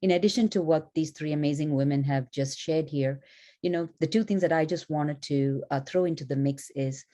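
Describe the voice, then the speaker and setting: A woman panelist who grew up in India, speaking in a thoughtful, measured way with frequent "you know", joining a panel over a video call. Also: deep voice, warm tone. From born in Malaysia, grew up in India. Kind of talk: panel discussion remarks.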